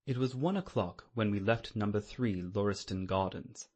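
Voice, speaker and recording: Low, husky male audiobook narrator. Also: normal speed, darkish tone. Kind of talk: scripted literary narration.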